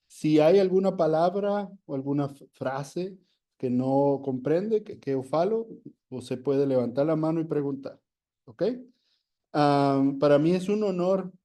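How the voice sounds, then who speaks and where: Um homem com sotaque espanhol, que tem o espanhol como língua materna, fala devagar e com calma, numa mistura de espanhol e português. A voz é suave e um pouco aguda. Ele faz pausas para buscar as palavras enquanto fala a pastores. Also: male voice, fairly high pitch. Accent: Spanish. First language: Spanish. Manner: slow and deliberate, searching for words. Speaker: guest speaker and church mentor. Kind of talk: talk to pastors, mixing Spanish and Portuguese.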